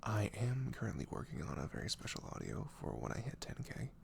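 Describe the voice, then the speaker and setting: A man speaking softly in a casual, hesitant, rambling ASMR style, apologetic in manner. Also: fairly low pitch, huge pitch swings, fairly quick, creaky, a little hiss or hum. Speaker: audio roleplay creator. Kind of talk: soft-spoken ASMR, casual rambling intro.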